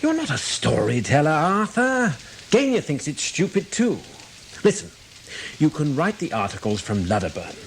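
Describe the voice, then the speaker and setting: A pushy newspaper editor in a radio drama. He is hearty, blunt and persuasive, with a widely swinging pitch over faint background noise. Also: wildly swooping pitch, normal speed, vocal fry, full character voice. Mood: blunt, cajoling. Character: pushy, brisk newspaper editor and old friend. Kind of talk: radio play acting.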